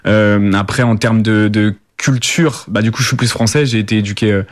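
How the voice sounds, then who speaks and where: A man's voice: fairly low pitch, steady tone, normal speed, no room sound, clean studio sound. A young man from the Paris suburbs, answering interview questions casually with many fillers and restarts. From Paris suburbs, France. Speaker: rapper, guest.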